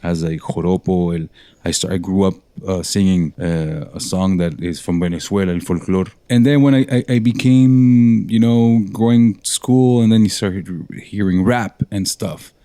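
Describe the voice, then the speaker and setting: A man's voice: low-pitched, moderate pace, dark tone, dry recording. A man from Montreal, a guest musician, telling his story in a rambling, self-correcting way. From Montreal, Canada. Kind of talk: casual interview talk.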